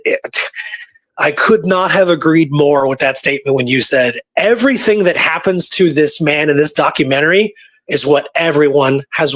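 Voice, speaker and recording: A man's voice: on the high side, fairly bright tone. A man co-hosting a casual podcast, talking in an enthusiastic, emphatic storytelling manner. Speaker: podcast co-host.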